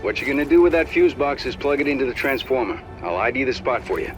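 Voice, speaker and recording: An actor playing a grumbling, sarcastic engineer in a video game, speaking in a gruff, colloquial way. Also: male voice, medium pitch, expressive, fast, close to an everyday voice. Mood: wry, instructive. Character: grumbling, sarcastic male engineer guiding an agent. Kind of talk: video game mission dialogue. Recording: music behind the voice.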